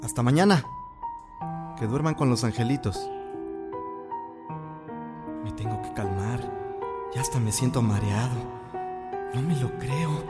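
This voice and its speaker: A man's voice: medium pitch, unhurried, long pauses, over loud music, close to an everyday voice. An actor playing a grieving widowed father from rural Mexico in an audio drama, pleading and hesitant, with a Mexican accent. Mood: weary, unsettled. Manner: pleading, hesitant, repeating himself.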